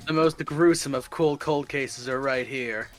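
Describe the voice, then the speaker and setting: A man's voice: mid-range voice, unhurried. A man chatting casually over gameplay with friends, teasing, riffing and swearing freely. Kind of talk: casual joking banter during gameplay.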